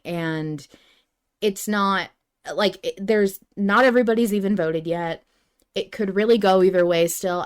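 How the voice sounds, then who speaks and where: A woman podcast host in a casual fan discussion, rambling a little as she thinks aloud. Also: mid-range voice.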